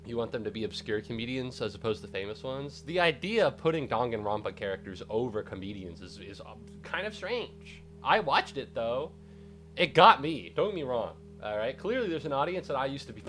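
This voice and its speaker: A young man chatting casually on a live stream, congested and rambling with excitable outbursts. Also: lowish voice.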